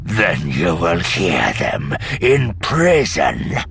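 A stern alien clan elder in an audiobook story. The voice is very low, forceful and gravelly, delivering commands slowly with contempt and menace. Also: male voice, huge pitch swings, a little hiss or hum, full character voice. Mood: angry, commanding. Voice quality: gravelly snarl.

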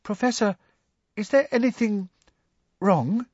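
A man voicing a timid, concerned schoolgirl in an audiobook, speaking in a hushed, deferential way. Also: very high-pitched, big pitch swings, normal speed, dry recording, lo-fi sound, pitched above the performer's own voice, full character voice.

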